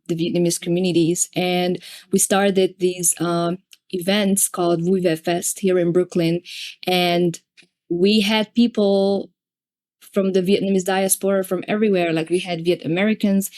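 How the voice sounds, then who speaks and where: A woman's voice: on the low side, a little mellow. A young woman with a Hungarian accent, a singer-songwriter guest chatting casually with lots of "like". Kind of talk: casual panel conversation.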